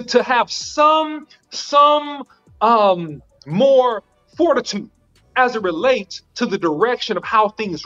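High-voiced man making a measured point, dull sound. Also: sharp tone. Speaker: sports talk panelist. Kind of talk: casual sports chat.

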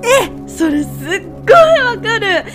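Very high, sweet, dreamy young woman, acted. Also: very animated, unhurried, over music, full character voice. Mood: dreamy, delighted. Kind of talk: amateur radio drama acting.

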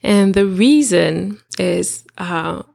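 Woman, middle-aged, reflective, gravelly, dry close mic. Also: lowish voice, drawn-out words, a little mellow, dry recording. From Trinidad and Tobago. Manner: reflective, candid, with filler words. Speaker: podcast guest, life coach. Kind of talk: casual personal storytelling.

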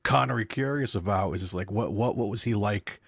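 Casting: male co-host, low voice, halting delivery, very dry sound. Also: gravelly, slightly rough, dry recording. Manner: hesitant, halting with restarts. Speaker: podcast co-host. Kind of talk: casual chat.

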